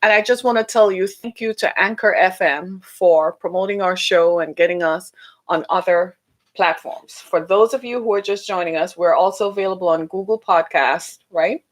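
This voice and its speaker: A middle-aged woman hosting a solo podcast, who is losing her voice and talks chattily, often asking listeners "right?", going up in pitch when she mocks someone. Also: normal speed. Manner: animated, rising pitch when mocking. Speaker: podcast host.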